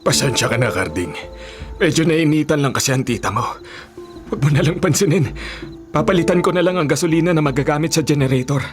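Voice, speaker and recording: A man playing a placating but slightly touchy middle-aged uncle in a Filipino radio drama, measured in manner. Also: a little high, expressive, soft background music, natural voice.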